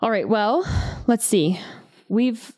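A woman's voice: on the high side, lively intonation, takes it slowly, a little mellow, dry recording, clean studio sound. A woman hosting a podcast, chatting casually with friends in a jokey, self-deprecating way. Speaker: podcast host. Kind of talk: casual chat among friends.

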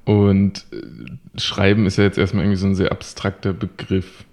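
A man with a deep, gravelly voice hosts a conversational podcast. His tone is dark and mellow, and he strings his questions together in long, easygoing runs. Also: level tone, moderate pace. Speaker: podcast host.

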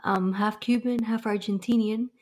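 A woman's voice: a little high, steady tone, moderate pace, darkish tone. A young woman from Miami answering interview questions in a friendly, chatty way, with fillers and restarts. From Miami, Florida. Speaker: podcast guest.

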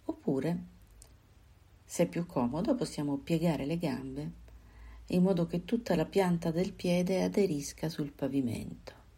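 A woman guiding a body scan meditation, giving calm, unhurried instructions in short phrases with pauses. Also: lowish voice, husky. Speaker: meditation guide.

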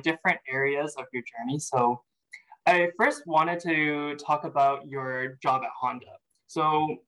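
A man's voice: on the high side, bright, forceful tone, poor audio. A man hosting a podcast interview, upbeat and eager as he introduces his guest and asks questions. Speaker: podcast host.

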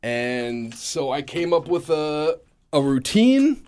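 A man's voice: fairly high pitch, sing-song, slow, a little mellow, no echo. A man, a stand-up comedian, chatting in an interview with a slightly scratchy voice, self-deprecating and often laughing. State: slightly scratchy voice after a long day. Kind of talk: casual interview chat.

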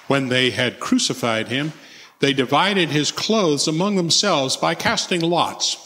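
Slow, solemn male scripture reader in reverberant hall. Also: medium pitch, a bit bright. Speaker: narrator in a church scripture reading. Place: large reverberant church.

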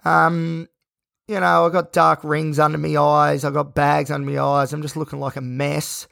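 Male host, croaky and gravelly, tired, rambling monologue, dry close sound. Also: a little high, flat delivery, normal speed, a bit bright, no echo. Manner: rambling, self-reflective, frequent fillers. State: sleep deprived. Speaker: podcast host. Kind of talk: personal monologue.

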